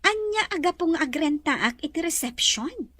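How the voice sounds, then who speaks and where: A woman's voice: sits high, expressive, normal speed, bright, forceful tone, no room sound, studio quality. A woman playing a haughty, bossy young resort owner in an Ilocano audio drama, with an Ilocano accent. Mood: proud, scheming.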